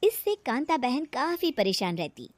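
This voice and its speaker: A woman tells a scripted moral story as its narrator. Her voice is high and moves up and down in a lively way. The recording is dry, with no room around it. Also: a little slow, dry recording.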